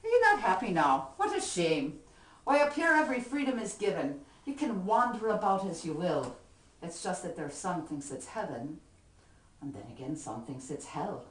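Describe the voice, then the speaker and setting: A woman voicing a sly, calm man who guards the gate of hell and mocks a newcomer, in a dry, teasing way, recorded from a distance in an echoey room. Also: fairly low pitch, normal speed. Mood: mocking. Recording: echoey room, mic far off.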